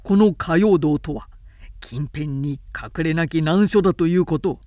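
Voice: medium pitch, a little slow, a little mellow, no echo, clean studio sound, natural voice. A woman voicing a proud, cunning male warlord in an audiobook, with declamatory, archaic, commanding speech. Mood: confident, lecturing. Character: Cao Cao, proud cunning warlord, man, mocking.

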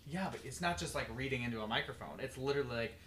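A young man co-hosting a podcast in loose, rambling chat, full of fillers and casual swearing. His voice is bright and sharp at an average pitch. A faint hum of noise sits behind him. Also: animated, racing. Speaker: podcast co-host.

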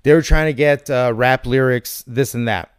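A man in his thirties talking politics casually, animated and questioning, with some swearing, as a podcast host. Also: medium pitch, normal speed, clean tone, dry recording, studio quality. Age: 30s.

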